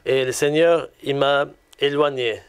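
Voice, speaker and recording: Smooth-voiced man with a Lebanese accent. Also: medium pitch, fairly even intonation, measured pace. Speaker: guest giving a religious testimony. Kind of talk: personal testimony in conversation.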